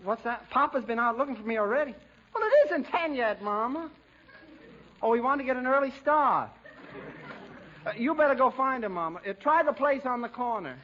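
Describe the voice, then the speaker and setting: A man's voice: very high voice, lively intonation, moderate pace. A man playing a timid, fussy, whiny young mama's boy in 1940s radio comedy style. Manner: whiny, hesitant, prim. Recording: dull, hissy old radio recording.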